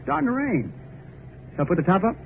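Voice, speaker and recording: An actor playing a carefree, playful young groom who jokes boisterously, in 1930s radio acting style. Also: male voice, high-pitched, big pitch swings, fast talker, gravelly, exaggerated voice. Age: young adult. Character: carefree joking young groom, happy-go-lucky. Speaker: young bridegroom. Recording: tinny old radio recording with faint hiss.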